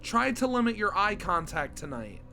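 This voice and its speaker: Give me a bubbly young mare, a cartoon pony who loves throwing parties, read out by a man with a cold. The voice is high and bright, with a slight hoarseness. A faint hiss sits underneath. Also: sing-song, normal speed, heavily acted. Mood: cheerful. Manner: reads the comic's lines aloud, plainly. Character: young female pony, bubbly party lover.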